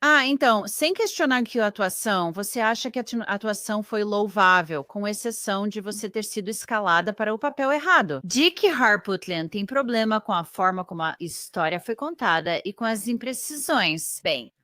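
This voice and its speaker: A woman hosting an interview, asking questions in a measured, conversational way. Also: mid-range voice, expressive, sharp tone, no echo, clean studio sound.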